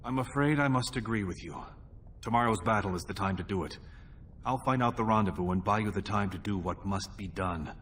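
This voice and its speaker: An actor playing a scheming young dwarven prince in a video game, with a polite, sly manner. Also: male voice, a little fast, fairly bright tone, natural voice. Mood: conspiratorial, resolved. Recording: noisy rumbling ambience behind.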